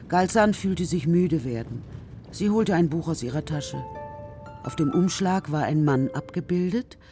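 A woman's voice: deep voice, mellow. A woman reading scripted storybook narration as the narrator of a children's radio drama.

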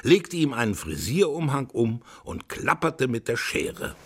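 A man narrating a children's radio play as an expressive storyteller. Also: medium pitch, unhurried, breathy edge.

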